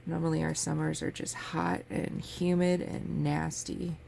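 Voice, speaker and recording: A sniffly woman hosting a casual craft tutorial, chatting in a relaxed way as she works at her table. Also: sits low, unhurried, creaky. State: sniffly from a cold. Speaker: crafting channel host. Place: home craft table with a fan running. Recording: faint fan noise in background.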